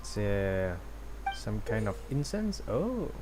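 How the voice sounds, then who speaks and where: A man's voice: on the low side, sing-song, takes it slowly, background noise. A man livestreaming a game, reading dialogue aloud with some drama and stumbles, and reacting casually. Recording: game music behind the voice.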